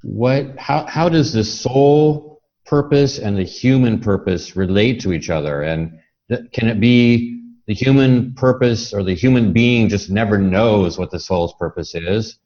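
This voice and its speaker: A man's voice: fairly low pitch, measured pace, a little mellow. A man interviewing a guest in a casual, reflective way, inquisitive and feeling his way through his phrasing. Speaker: podcast interviewer. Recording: dull lo-fi audio.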